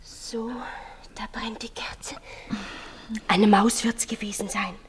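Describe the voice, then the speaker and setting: A woman in a German radio play acting a clingy, jealous twelve-year-old girl who confides in hushed, breathy, pleading tones. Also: medium pitch, flat delivery, slow, a crowd in the background, lightly acted. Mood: hushed, eerie.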